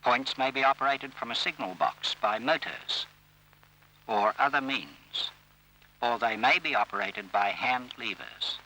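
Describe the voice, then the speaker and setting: A man reading scripted instructional narration for an old training film, in a formal, measured and instructive manner. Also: medium pitch, animated, bright, forceful tone. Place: voice-over recorded for film. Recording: old scratchy railway training film soundtrack.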